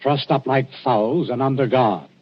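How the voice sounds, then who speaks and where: Husky, exasperated old-time police inspector. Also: male voice, medium pitch, unhurried. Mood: curt, resigned. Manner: indignant, emphatic. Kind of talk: 1940s radio drama acting. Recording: muffled, old 1940s radio broadcast.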